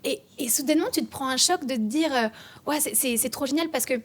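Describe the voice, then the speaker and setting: Excitable woman voice actress chatting. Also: sits high, quick pace. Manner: excitable, animated, laughs easily. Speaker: voice actress, interview guest. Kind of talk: casual interview chat. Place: at a voice-acting festival.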